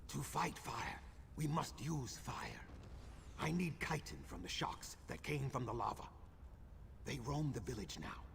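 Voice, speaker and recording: A grave lizard-folk healer from a video game, speaks slowly and with bitter weight. His voice is gravelly and rises and falls a good deal. The game audio carries clear background noise. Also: medium pitch, sing-song, fairly bright tone, full character voice. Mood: solemn. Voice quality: gravelly, measured and solemn. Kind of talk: video game character dialogue.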